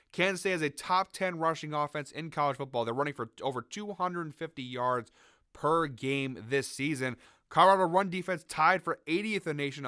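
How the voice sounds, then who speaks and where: Sick raspy young man talking bets. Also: fairly high pitch, pushed and bright, no echo, clean studio sound. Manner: conversational, hedging, frequent fillers. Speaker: sports betting show host. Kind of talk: casual picks commentary to camera.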